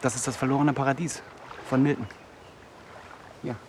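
An actor playing a vulnerable, brooding young outcast in a naturalistic radio drama, speaking in an earnest, halting, searching way. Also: male voice, mid-range voice, flat delivery, noisy background, close to an everyday voice.

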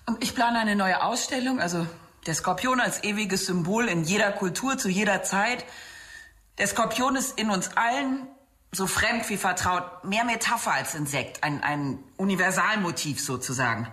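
An actress playing a giddy, grandiose woman artist in a German radio drama, declaiming excitably. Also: medium pitch, moderate pace. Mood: grandiose, excited. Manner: excitable, declaiming, breaking into laughter. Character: giddy, manic woman artist named Tina, brittle and grandiose.

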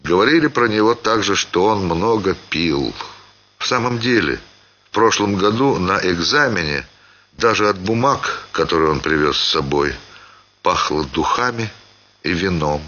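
Male narrator for literary prose: low, bright voice, theatrical phrasing, slightly dull recording. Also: expressive, moderate pace, a little hiss or hum. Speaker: actor reading a short story. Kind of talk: expressive scripted literary narration.

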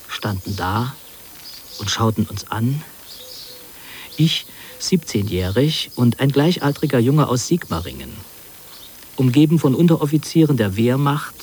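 A man playing a reflective narrator who recalls his wartime youth in measured, literary radio play narration. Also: medium pitch, a little mellow, slight background noise. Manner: measured, reflective, carefully phrased. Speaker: autobiographical narrator.

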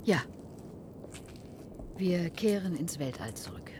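An actress playing a detached, alien-possessed woman in a German radio play, solemn and measured, scornful of human feeling. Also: lowish voice, lively intonation, background noise. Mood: cryptic, calm.

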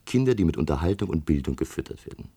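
A disillusioned man brooding over his drink in a radio drama. His voice is very low and rough with huskiness. He muses in a sardonic, ruminative way. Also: big pitch swings, fast talker, dark tone, exaggerated voice. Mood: bitter. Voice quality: deep, husky. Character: brooding, disillusioned unemployed electrician drinking at a bar. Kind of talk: German science-fiction radio play acting.

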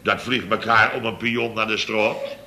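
An actor playing a blustering, indignant boss of a building firm in a Dutch radio comedy, exclaiming and grumbling. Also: male voice, lowish voice, level tone, a little slow, bright, forceful tone, a little hiss or hum. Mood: exasperated. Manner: exclamatory, grumbling, tags sentences with "hè" and "zeg".

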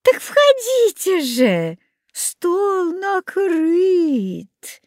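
A woman voicing a sugary old witch in a storybook, luring children with cooing, drawn-out, sing-song talk. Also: very high-pitched, huge pitch swings, a little mellow, no echo, studio quality, pitched above the performer's own voice, heavily acted. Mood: coaxing, inviting.

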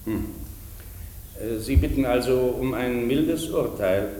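An actor playing a stern, formal presiding judge with an official manner in a radio play. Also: male voice, mid-range voice, slow pace, warm tone, lightly acted. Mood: probing. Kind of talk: German radio play acting. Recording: echoey room, faint hiss.

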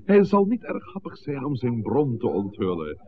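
An actor playing an urbane, polite and appreciative dinner guest in a 1960s radio drama. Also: male voice, medium pitch, wildly swooping pitch, moderate pace. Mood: genial. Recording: tinny 1960s radio recording with crowd murmur.

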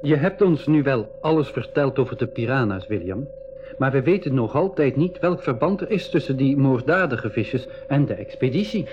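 An actor playing an inquisitive boy adventurer who tells his story in a school radio drama, in an earnest, measured way. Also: medium pitch, animated, dull audio, over music, close to an everyday voice. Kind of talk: scripted narration and dialogue in 1980s school radio drama.

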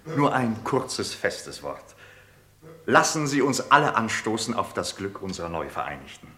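An actor playing a pompous, ceremonious gentleman giving a festive toast in halting, declamatory German radio drama style. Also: fairly low pitch, big pitch swings. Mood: festive, solemn. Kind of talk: German radio play acting. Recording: roomy, reverberant drama scene.

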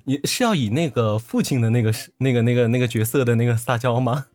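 A woman's voice: a bass voice, fast talker, darkish tone, dry recording, faint music behind. A woman voice actor chatting casually as a guest, bashful, giggly and hesitant. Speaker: voice actor guest on a cast livestream. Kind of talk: casual unscripted chat.

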